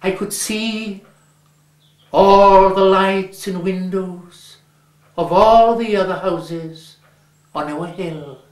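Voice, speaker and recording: Slow, measured Welsh storyteller. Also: male voice, high voice, fairly even intonation, darkish tone. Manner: measured, lingering on words. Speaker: reader. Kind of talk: storybook reading. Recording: roomy echo.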